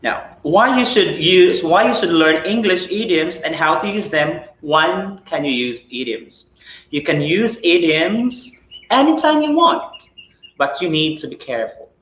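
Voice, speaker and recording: A man's voice: a little high, lively intonation, a little slow, a bit bright, echoey room, poor audio. A man from the Philippines with a Filipino accent, whose first language is Cebuano, teaching a live English lesson in a chatty way, with lots of "right?" and "okay?". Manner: chatty, rhetorical questions with tag "right?" and "okay?".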